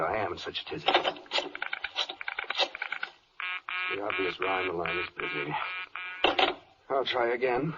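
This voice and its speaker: An actor playing a flustered, fussy cosmic official who dithers and exclaims in rhyme, in a 1940s comic radio style. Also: male voice, medium pitch, vocal fry, heavily acted. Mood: dithering, despairing. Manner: dithering, self-muttering, exclamatory, speaking in rhyme. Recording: dull, noisy vintage 1940s radio recording.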